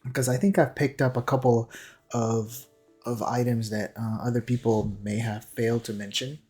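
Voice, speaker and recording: A man talks through what he is analysing in a casual commentary style. He is hesitant and halting, working out his thoughts aloud at an ordinary middling pitch. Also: little pitch movement, darkish tone, breathy edge, faint music behind. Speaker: commentator on a game character trailer.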